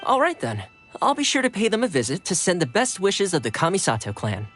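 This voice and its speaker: A man's voice: high-pitched, wildly swooping pitch, fairly quick, a bit bright, faint music behind, heavily acted. An actor playing a friendly, easygoing young male housekeeper in a video game. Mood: helpful.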